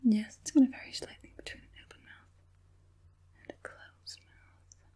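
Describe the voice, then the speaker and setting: A woman voicing a sly, falsely soothing evil witch puppet master in a breathy, coaxing whisper for an ASMR roleplay. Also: dark tone, lightly acted. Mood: softly focused. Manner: coaxing, unhurried, sinisterly sweet.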